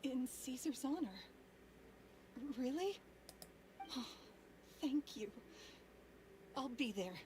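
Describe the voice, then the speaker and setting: A woman's voice: high-pitched, expressive, slow pace, pauses often, a little mellow, a little hiss or hum. A woman playing an anxious, grieving young woman in a video game, earnest, hesitant and apologetic. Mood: grateful.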